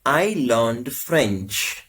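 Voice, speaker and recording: Very slow, lively-pitched male teacher. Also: husky. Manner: measured, carefully spaced phrases. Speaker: language teacher. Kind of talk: slow, deliberate lesson prompts.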